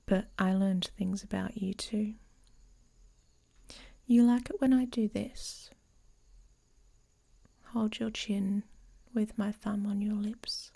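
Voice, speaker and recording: A woman playing a dominant, teasing female werewolf in an intimate ASMR audio roleplay, with a breathy, whispery voice and a commanding manner. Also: medium pitch, steady tone, slow, pauses often, mellow, exaggerated voice. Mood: seductive, commanding.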